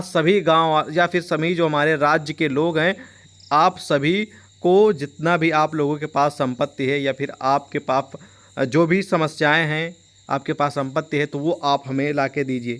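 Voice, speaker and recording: Male online teacher, slightly high pitch, informal and explanatory, repeats points with frequent check-ins. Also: fairly bright tone. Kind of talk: informal exam-prep lesson.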